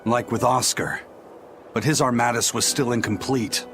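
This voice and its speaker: An actor voicing a stern, seasoned pirate captain in game dialogue, speaking in a measured, grave, analytical way. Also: male voice, mid-range voice, fairly even intonation, fairly bright tone, faint music behind, lightly acted. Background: game score.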